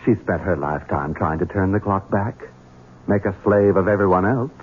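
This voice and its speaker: A man's voice: low voice, normal speed, slight background noise, lightly acted. A man playing a scheming, sardonic Southern heir in a radio drama, with a drawling Southern accent. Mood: sardonic, commanding. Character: scheming Southern heir, a sly bachelor son. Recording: muffled old radio broadcast, music behind.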